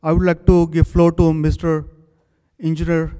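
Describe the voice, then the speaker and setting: A man's voice: on the high side, flat delivery, moderate pace, mellow, clear, resonant tone. A man hosting a technical talk, formally reading out the guest speaker's credentials in short phrases at a podium microphone. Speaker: event host introducing the speaker. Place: large conference hall. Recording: long hall reverb.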